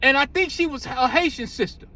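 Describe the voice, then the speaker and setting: Very high-pitched man, blunt and profane. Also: brisk, background noise. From United States. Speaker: commentary host. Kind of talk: casual opinionated commentary.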